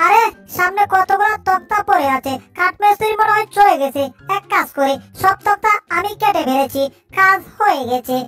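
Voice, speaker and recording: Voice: extremely high pitch, moderate pace, bright, forceful tone, faint music behind. A performer voicing a hungry, mischievous baby crocodile in a cartoon dub, small and childlike, exclaiming as it goes. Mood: determined.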